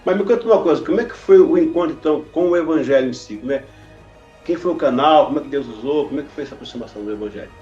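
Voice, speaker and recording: A man hosting a church podcast interview in a casual, chatty way, jumping in with quick reactions. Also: fairly high pitch, animated, mellow. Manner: chatty, jumps in with short reactions. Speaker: podcast host. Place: podcast studio. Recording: roomy, muffled studio audio with faint music.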